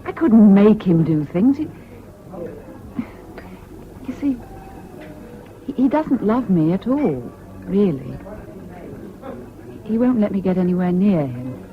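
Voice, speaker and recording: A woman's voice: mid-range voice, very animated, slow pace, pauses often. An actress playing an emotional, proud young Englishwoman in wartime in a 1970s British radio drama. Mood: distraught, bitter. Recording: dull old radio recording, music behind.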